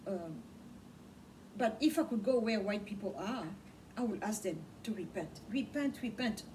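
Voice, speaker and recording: A woman's voice: medium pitch, expressive, normal speed, mellow. Impassioned woman preaching from across a room. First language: Swahili. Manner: impassioned, repeats words for emphasis, gestures a lot. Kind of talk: preaching monologue to camera. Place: small home study with bookshelves, indoors. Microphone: camera mic from across the room. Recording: distant mic with faint room noise.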